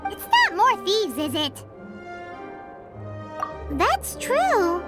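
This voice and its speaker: A tiny, excitable fairy girl from a video game. The voice is extremely high and childlike, leaping all over in pitch, with chatty, cheeky exclamations. Music plays clearly behind it. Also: drawn-out words, exaggerated voice. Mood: curious. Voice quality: squeaky, childlike. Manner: exclamatory, cutesy, animated.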